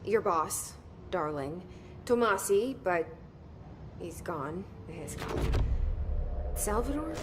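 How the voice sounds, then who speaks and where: An actress playing a determined young newcomer, speaking in terse, halting, questioning lines of video game dialogue. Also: female voice, lowish voice, expressive, heavy background noise. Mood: focused, uneasy.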